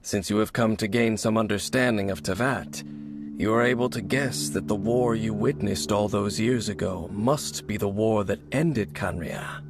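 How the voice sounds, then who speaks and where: A man's voice: lowish voice, normal speed, over music, close to an everyday voice. Man playing a grave, formal knight. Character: solemn male knight, grave keeper of ancient secrets. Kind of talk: video game voice acting.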